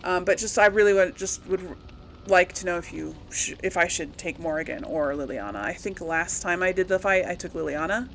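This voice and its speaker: A woman's voice: on the low side, fairly quick, fairly bright tone, slight background noise. Woman chatting casually as a gaming host. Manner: rambling, chatty. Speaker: host of a video game let's play channel. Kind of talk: casual gaming commentary to viewers.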